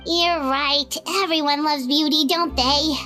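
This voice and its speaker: A woman voicing a tiny, excitable, childlike fairy companion in a video game, with an exclamatory way of talking. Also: high voice, unhurried, faint music behind. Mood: agreeable. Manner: exclamatory, speaks of self in third person.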